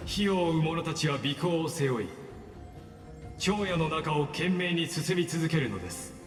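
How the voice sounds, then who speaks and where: A man's voice: a little high, expressive, brisk, lightly acted. A man voicing a polite, ceremonious game narrator who ushers the listener through a fated tale in formal speech. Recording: echoey long hall reverb, game music.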